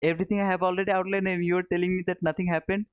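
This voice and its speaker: A man's voice: high-pitched, level tone, very fast, clear, resonant tone. A man hosts a live stream, casually answering viewers in short, repeated phrases with chummy, informal address. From Bangladesh. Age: 30s. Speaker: live trading stream host. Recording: narrow phone-like sound, roomy echo.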